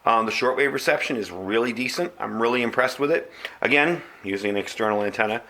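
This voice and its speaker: Middle-aged man, American accent, casual product reviewer, conversational, explanatory, medium pitch. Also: fast talker, pushed and bright.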